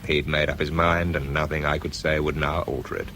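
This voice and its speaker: A man's voice: extremely low pitch, level tone, a little fast, heavy background noise. An actor playing an exhausted, self-doubting British bomb disposal man in a radio drama, introspective and understated in narration and dialogue. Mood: weary, reflective.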